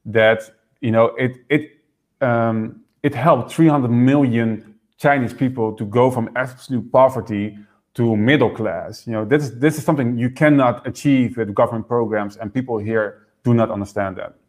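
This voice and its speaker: A man raised in the Netherlands, speaking as an interview guest and explaining politics quickly, often repeating words as he goes. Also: mid-range voice, fairly even intonation. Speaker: political party member, guest. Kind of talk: interview conversation.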